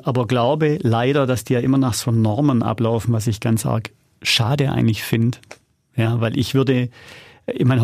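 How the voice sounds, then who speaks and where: A man's voice: mid-range voice, darkish tone, no echo, clean studio sound. A middle-aged man talking casually and anecdotally as an interview guest, often checking in with little tag questions. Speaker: interview guest, audio drama producer.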